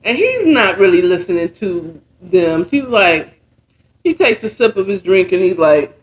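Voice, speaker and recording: A woman informally retelling a story in a halting, conversational way, often checking in with her listeners. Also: on the low side, big pitch swings, poor audio. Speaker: storyteller retelling a book chapter.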